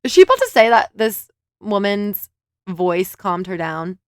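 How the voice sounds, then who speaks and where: A woman's voice: mid-range voice, big pitch swings, measured pace, smooth, dry recording, studio quality. A woman reacting to clips in a sarcastic, laughing commentary, swearing now and then. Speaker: commentary host.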